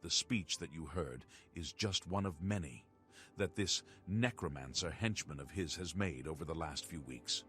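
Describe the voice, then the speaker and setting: A man playing a grave, thoughtful townsman in a fantasy game, speaking in a measured, formal way. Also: extremely low pitch, lively intonation, fairly bright tone, faint music behind. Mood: grave concern. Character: grave, worried male townsman of a fantasy city.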